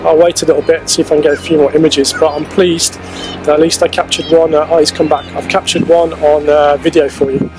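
A man in his forties talking casually to camera as a wildlife photography vlogger, explaining things in a halting way on an outdoor camera microphone. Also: on the high side, little pitch movement. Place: outdoors on a grassy coastal clifftop. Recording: poor camera-mic sound outdoors, music behind.

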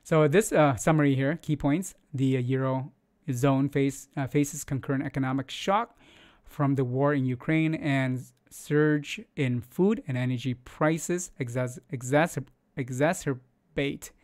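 A man's voice: mid-range voice, steady tone, measured pace, a little mellow. A man in his forties hosting market news in a casual, loose way, with many fillers and restarts, speaking English as a second language from a home studio on a close mic. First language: not English. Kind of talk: casual screen-share news commentary.

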